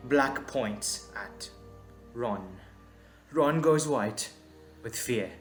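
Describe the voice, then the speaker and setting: A man's voice: mid-range voice, lively intonation, very slow. A man narrating a scripted audio drama in a storytelling style. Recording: roomy echo, background music.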